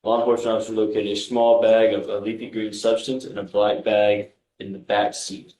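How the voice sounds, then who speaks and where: An echoey courtroom recording of an American male prosecutor. His voice is low and very flat as he reads formally from a report, procedural and unvarying. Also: fairly quick, slight background noise. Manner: monotone, procedural.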